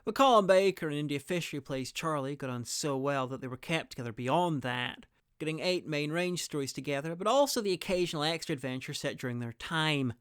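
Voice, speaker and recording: A man's voice: fairly high pitch, animated, brisk, a bit bright, smooth. A man delivering scripted review narration in a wry way, as the presenter.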